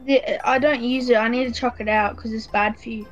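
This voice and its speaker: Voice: mid-range voice, fairly even intonation, fairly quick, faint music behind. A teenager in casual video chat. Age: teens. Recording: dull, lo-fi video chat audio.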